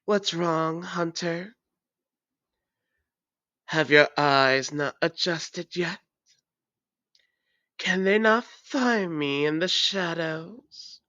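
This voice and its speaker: A woman voicing a menacing, taunting ancient vampire in a theatrical, deliberate audio roleplay monologue. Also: lowish voice, a bit bright, dry recording, highs cut off. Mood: taunting, intrigued.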